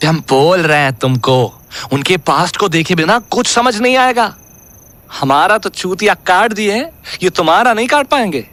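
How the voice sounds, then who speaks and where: A woman's voice: lowish voice, lively intonation, normal speed, a bit bright, no echo. Woman playing a brash, swooping-voiced female ghost. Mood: dismissive, brash. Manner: blunt, slangy.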